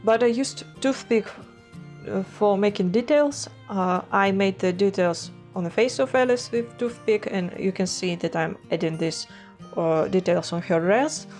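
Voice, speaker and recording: A woman's voice: mid-range voice, a little mellow. A woman vlogger, stuffy from a cold, talking casually about her art with many hesitations. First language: Russian. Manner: hesitant, many fillers and restarts. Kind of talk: casual vlog narration.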